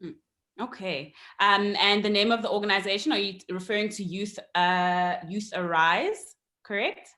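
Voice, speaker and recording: A woman's voice: mid-range voice, normal speed, bright, forceful tone. A woman, hosting an online interview and welcoming her guest, with frequent ums along the way. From South Africa. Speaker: host.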